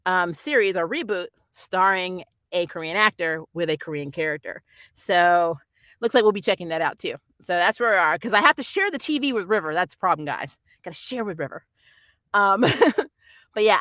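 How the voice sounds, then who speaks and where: A woman's voice: fairly low pitch, a bit bright, no echo, clean studio sound. A middle-aged woman hosting a casual livestream, chatting in a rambling, opinionated way as she reviews shows and answers viewer comments. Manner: rambling, digressive, opinionated, often laughing. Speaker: host of a nerd culture review channel.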